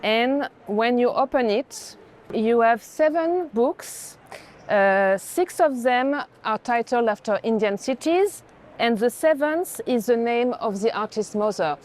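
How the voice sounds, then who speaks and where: A woman curator explaining art in conversation, in a measured, informative way. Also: a little high, animated, darkish tone. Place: art fair.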